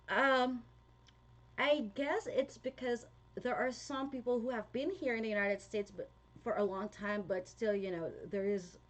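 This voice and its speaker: A young woman with a Filipino accent, chatting casually to her viewers, with frequent laughs and fillers. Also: a little high. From Philippines. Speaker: vlogger. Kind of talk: casual talk to viewers, reading comments.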